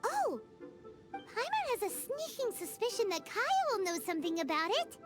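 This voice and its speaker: A tiny, excitable fairy girl companion who calls herself by name in the third person. Her squeaky, childlike voice sits very high and bounces all over the place as she speaks, unhurried, in a video game scene. Game music plays clearly underneath. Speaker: companion character.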